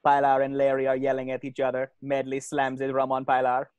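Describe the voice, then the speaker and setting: A man's voice: mid-range voice, monotone, fairly quick, darkish tone, clear, resonant tone, no room sound. A man narrating stage directions from a script during a cartoon table read.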